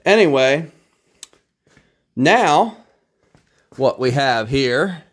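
A man's voice: medium pitch, expressive, extremely slow, a bit bright. A man chatting casually in his garage workshop as he shows off an old three-wheeler, joking at his own expense. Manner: chatty, wry self-deprecating jokes. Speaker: vintage three-wheeler restorer. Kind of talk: casual workshop show-and-tell.

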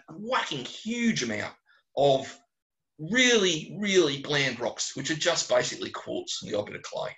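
An Australian man, a geologist answering questions informally on a webinar, speaking bluntly and colloquially with animated digressions. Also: medium pitch, rough recording. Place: small closed room at home or office, on a video call.

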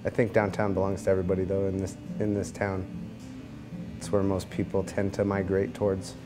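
A man in his thirties chats in an easygoing interview style. His voice is fairly low and quite flat in melody, with music clearly playing behind it. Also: fairly quick. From New Mexico, USA. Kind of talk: casual documentary interview. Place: barbershop.

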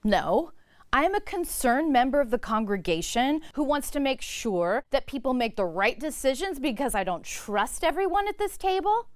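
A woman's voice: high-pitched, big pitch swings, moderate pace, no echo. A woman playing an indignant, pushy, confrontational church congregant in a comic training film.

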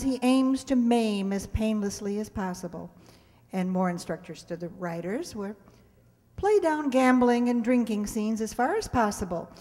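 A woman's voice: mid-range voice, a little mellow. Older woman presenting in a reverberant hall. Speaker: presenter introducing a stage radio play. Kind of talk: scripted introduction read to an audience. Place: stage in a community hall, indoors. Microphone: handheld-style microphone on a stand. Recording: hall reverb from stage microphone.

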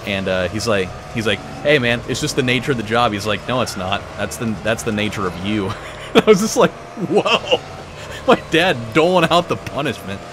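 A man hosting a gaming video, telling stories in a casual, animated way with swearing. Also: mid-range voice, moderate pace, background noise. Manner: animated storytelling with profanity. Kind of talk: casual chat over a racing game. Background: loud game music and car engines.

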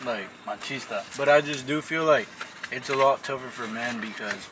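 A man's voice: mid-range voice, normal speed. A young man chatting casually and profanely with friends in a car. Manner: profane, slangy. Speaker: vlog co-host. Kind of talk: casual slangy chat. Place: inside a car. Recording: noisy car, slightly distant mic.

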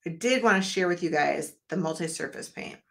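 A woman's voice: on the low side, fairly quick, vocal fry, a bit bright. Chatty woman hosting an art live stream. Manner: chatty and rambling, talks straight to viewers. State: losing her voice. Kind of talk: casual live-stream chat. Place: home art studio, indoors.

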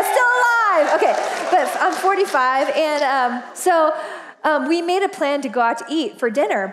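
A woman's voice: high-pitched, a little hiss or hum. A woman in her forties, a pastor, giving a casual, chatty sermon from a church stage with lots of laughter and fillers. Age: 40s. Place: church stage, large indoor auditorium.

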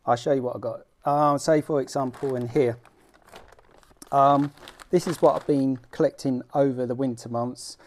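A middle-aged man with a British accent hosting an informal homemade how-to vlog, talking in a halting, self-deprecating way as he works. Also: mid-range voice, steady tone. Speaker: fishing vlog host. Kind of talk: casual how-to demonstration. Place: small room at home, indoors.

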